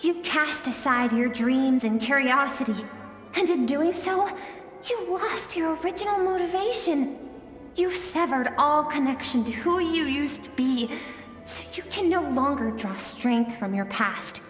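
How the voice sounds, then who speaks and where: High-voiced, earnest little girl in reverb. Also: lively intonation, a little slow, soft background music. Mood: earnest, insistent. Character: earnest, gentle young girl, a warrior's lost childhood self. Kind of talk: scripted video game voice acting. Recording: echoey hall-like reverb.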